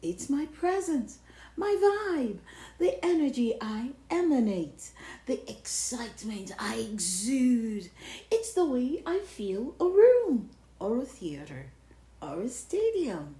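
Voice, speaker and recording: A woman's voice: on the high side, very animated, slow pace, warm tone. Woman playing a boastful, swooping storybook big cheese. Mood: smug, showy. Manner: pompous, theatrical, self-admiring. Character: boastful, showy big cheese craving the spotlight. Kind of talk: dramatic picture-book read-aloud. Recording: roomy, echoing sound.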